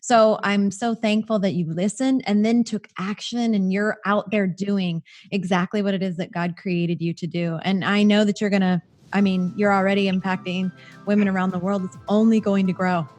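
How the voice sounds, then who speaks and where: A woman's voice: mid-range voice, fairly even intonation, moderate pace, darkish tone, faint music behind. A woman hosting a faith-based interview, encouraging and affirming toward her guest. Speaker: podcast host.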